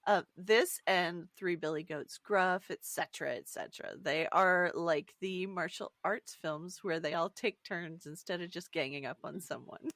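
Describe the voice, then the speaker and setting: Lowish-voiced woman co-host, dry sound. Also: a little slow, a bit bright, no echo. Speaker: podcast co-host. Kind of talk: casual book discussion.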